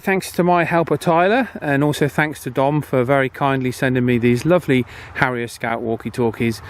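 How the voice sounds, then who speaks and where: A man hosting a casual video outdoors, chatting in a halting way and checking in often as he tests gear. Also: medium pitch. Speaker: host. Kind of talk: casual outdoor video commentary and walkie-talkie testing. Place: outdoors on a path. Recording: outdoor background noise, faint.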